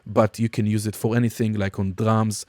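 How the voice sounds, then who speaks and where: A man giving an informal, explanatory software walkthrough as a music production instructor. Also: fairly low pitch, flat delivery, moderate pace, no echo, clean studio sound. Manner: casual, explanatory. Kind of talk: screen-recorded software tutorial, informal walkthrough.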